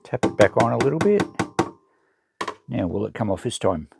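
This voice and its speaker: An Australian man giving casual hands-on commentary, thinking aloud and explaining things in an unhurried way as he works. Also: mid-range voice, big pitch swings, creaky, very noisy. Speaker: presenter of a vintage electronics salvage channel.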